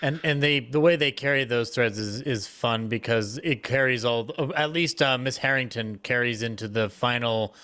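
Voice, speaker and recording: A man with a very bright, sharp voice of average pitch speaks on a podcast panel. He chats off the cuff with a fan's enthusiasm. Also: normal speed, few pauses, smooth. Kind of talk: unscripted panel discussion.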